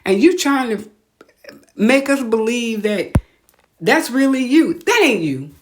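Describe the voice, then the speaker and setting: A woman with an American accent hosts a casual podcast monologue, talking in an animated, opinionated way with blunt slang. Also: fairly high pitch, takes it slowly, slight background noise. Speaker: podcast host.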